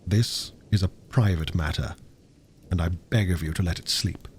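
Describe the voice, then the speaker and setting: A man voicing a troubled, secretive Victorian gentleman doctor in an audiobook, formal, earnest and emphatic, with a husky voice. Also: low-pitched, moderate pace, a little hiss or hum, pitched below the performer's own voice, close to an everyday voice. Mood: earnest, reassuring.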